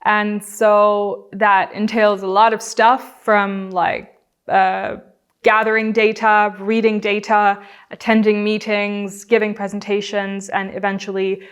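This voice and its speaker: A young adult woman, a guest doctor on a podcast, explaining her work at length in a relaxed, chatty way. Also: mid-range voice, slow pace, clear, resonant tone. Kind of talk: casual podcast interview.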